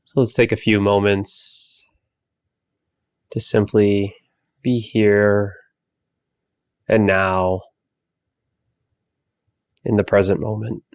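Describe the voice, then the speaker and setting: A man leading a guided meditation for focus, giving soft, calm body-scan instructions with long pauses. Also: fairly low pitch, no room sound.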